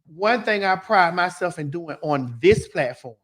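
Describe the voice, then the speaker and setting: A talk-show host ranting in heated, profane, emphatic casual talk. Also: female voice, on the low side, lively intonation, unhurried.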